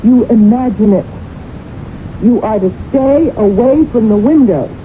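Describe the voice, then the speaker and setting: A woman's voice: fairly high pitch, measured pace, narrow, tinny audio, lightly acted. An actress in a radio drama playing a firm, practical woman who insistently reasons with a panicking companion. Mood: firm, soothing. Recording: thin, band-limited old radio broadcast, hissy.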